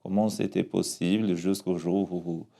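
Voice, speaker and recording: Low gravelly-voiced Brazilian priest. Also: male voice, measured pace. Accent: Brazilian Portuguese. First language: Portuguese. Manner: calm, reflective, with frequent restarts. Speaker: parish priest. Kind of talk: interview, personal testimony.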